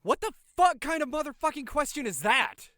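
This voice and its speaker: A man's voice: extremely high pitch, sing-song, normal speed, pushed and bright, no echo, clean studio sound, heavily acted. A man acting a furious, exhausted older brother in an audio drama, swearing and accusing explosively. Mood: outraged. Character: furious, exhausted older brother who raised his sister.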